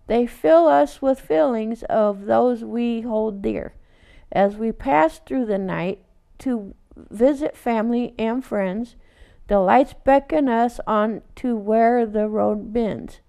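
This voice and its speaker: A woman reading a children's picture book aloud, adding casual asides in a gentle, unhurried read-aloud manner. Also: on the high side, vocal fry. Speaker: storytime reader.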